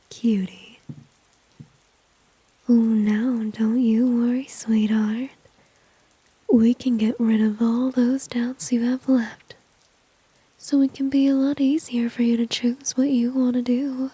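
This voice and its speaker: Breathy whispering villainess, played by a woman, slow and flirty. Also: a little high, mellow, no room sound, heavily acted, rough recording. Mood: coaxing, flirty. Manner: slow, teasing, smug, coaxing. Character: confident, flirty, dominant female villain teasing an enemy. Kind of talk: ASMR roleplay.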